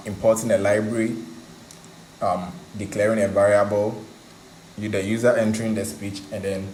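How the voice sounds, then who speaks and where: A man hosting a tutorial, explaining in a casual, conversational, halting way and often repeating himself. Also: lowish voice, darkish tone. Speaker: host of a coding tutorial channel. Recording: roomy echo, faint background noise.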